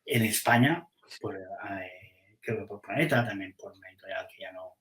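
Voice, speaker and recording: A man's voice: fairly low pitch, moderate pace, a bit bright, reverberant. A man, a comics publisher, chatting casually on a podcast and thinking aloud as he weighs which titles to publish, with frequent restarts.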